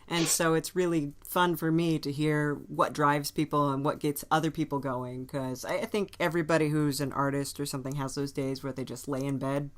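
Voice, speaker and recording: Low-voiced woman hosting a casual interview. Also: steady tone, normal speed, hardly any pauses. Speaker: podcast host interviewing a band.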